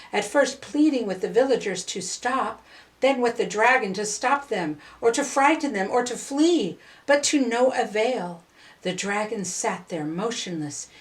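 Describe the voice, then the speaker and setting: An older woman reading a children's story aloud in an expressive, deliberate way with dramatic pauses. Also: a little high.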